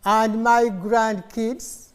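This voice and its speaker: Mature female conference speaker, Ugandan accent, native Luganda, unhurried delivery, animated storytelling in a reverberant hall. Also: fairly high pitch, clear, resonant tone. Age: middle-aged or older. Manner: animated storytelling, prompting listeners with questions. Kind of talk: informal conference speech with audience call-and-response. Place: conference hall, live talk to an audience. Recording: echoey hall, long reverb.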